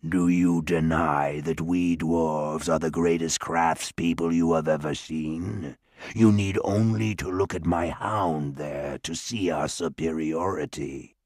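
A man doing a gloating, deep-voiced dwarf lord. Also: fairly even intonation, a little mellow, dry recording, studio quality, exaggerated voice. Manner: slow, gloating and menacing. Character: haughty, scheming dwarf lord, male, adult. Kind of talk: audiobook character voice.

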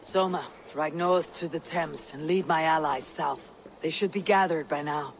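Resolute Viking warrior leader played by a man, highish voice. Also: normal speed, slight background noise, close to an everyday voice. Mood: commanding. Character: stern, shrewd young adult Viking warrior leader. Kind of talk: dramatic video game acting.

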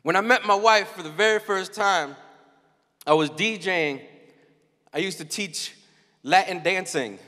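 Man in his thirties, high bright voice, youth keynote, handheld mic, hall reverb. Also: measured pace, pauses often. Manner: animated, storytelling, acts out quotes. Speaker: keynote speaker. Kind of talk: youth conference keynote talk. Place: large dark arena stage before a young crowd.

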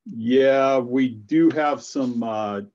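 Male technical staffer, medium pitch, factual, lo-fi video call. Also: extremely slow, darkish tone. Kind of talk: factual meeting discussion. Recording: dull lo-fi video call audio.

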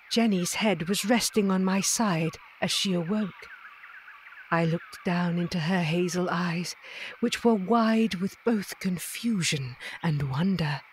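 A woman playing a tender, dignified non-human lady who narrates her memories in a measured, literary way in an audio drama. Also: medium pitch, a little hiss or hum, natural voice.

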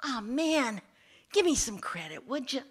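Woman voicing a sly, high-pitched wheedling snake. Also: sing-song, measured pace, husky, exaggerated voice. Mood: pleading, wheedling. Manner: wheedling, buddy-buddy, taunting. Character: sly, treacherous, wheedling male snake who gloats. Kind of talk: animated fable storytelling.

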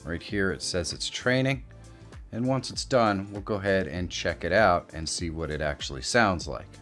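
A middle-aged man hosting a casual software tutorial. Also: low-pitched, moderate pace, faint music behind. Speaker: tutorial host. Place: small indoor home studio.

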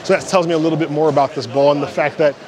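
A man's voice: a little high, steady tone, racing, a crowd in the background. A middle-aged American man casually reviewing and demonstrating a product in a bowling alley, explaining in short conversational bursts. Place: large indoor bowling alley. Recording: clattering bowling alley noise. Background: bowling alley clatter and ambience.

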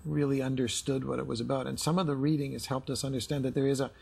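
Middle-aged male counselling client, raspy with vocal fry, reflective, halting conversational delivery. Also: mid-range voice, fairly even intonation, darkish tone. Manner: halting, repeats words as he searches. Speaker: husband in couples counselling. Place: indoor studio set with armchairs.

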